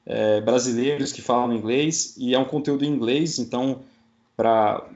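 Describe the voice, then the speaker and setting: A man's voice: medium pitch, steady tone, normal speed, darkish tone, highs cut off. A man with a Brazilian accent talking casually as an interview guest. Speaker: guest, podcaster. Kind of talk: casual chat.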